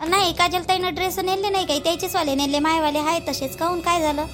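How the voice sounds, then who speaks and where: A woman's voice: extremely high pitch, fairly even intonation, fast talker. A woman with a Vidarbha Marathi accent playing a dutiful young newlywed daughter-in-law in a village cartoon, chatty, rustic and deferential. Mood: reluctant, protesting.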